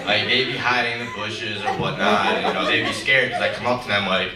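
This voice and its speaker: Low-voiced young male stand-up comic. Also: fairly quick. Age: young adult. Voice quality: low, deep voice. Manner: deadpan, rambling, self-mocking delivery. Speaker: stand-up comedian. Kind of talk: stand-up comedy set. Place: comedy club stage with a live audience. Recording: roomy, distant live club recording with loud crowd laughter.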